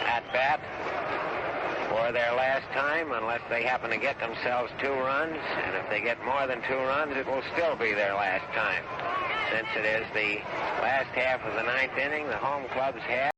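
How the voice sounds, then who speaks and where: A man giving baseball color commentary in 1930s radio style, speaking in deliberate, conversational, wordy phrases. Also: medium pitch. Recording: very noisy 1930s radio broadcast.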